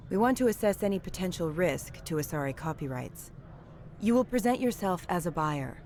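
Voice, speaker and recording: Low-voiced, secretive alien woman agent. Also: slight background noise. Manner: measured, clipped, businesslike. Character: secretive, formal female alien corporate agent.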